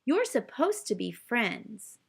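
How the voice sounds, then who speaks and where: A wise old owl from a children's story as a woman reads him aloud. He is calm and earnest, explaining things in a measured, instructive way. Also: on the high side, gravelly, breathy edge, full character voice. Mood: gently reproving.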